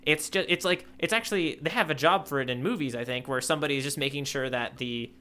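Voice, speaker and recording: A man's voice: on the high side, quick pace, pushed and bright. A man co-hosting a podcast, chatting casually and enthusiastically, rambling as he searches for his words. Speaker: podcast co-host. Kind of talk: casual manga discussion chat.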